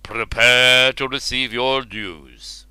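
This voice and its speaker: Man doing a commanding king, slow, measured, bright, crisp. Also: mid-range voice, fairly even intonation, no echo. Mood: stern. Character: commanding male king, stern and mighty.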